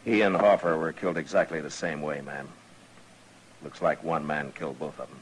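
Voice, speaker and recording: An American actor playing a calm, firm frontier marshal with a terse, authoritative delivery in an old-time radio western. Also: male voice, very deep voice, moderate pace. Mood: stern, steady. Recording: noisy vintage radio drama recording.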